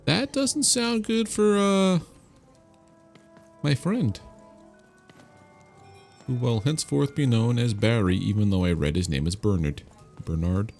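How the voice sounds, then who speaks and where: A man's voice: medium pitch, expressive, slow pace, gravelly, pauses often, darkish tone, soft background music. A man hosting a casual let's-play, offhand and wry as he comments and reads out dialogue choices. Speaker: gaming video host.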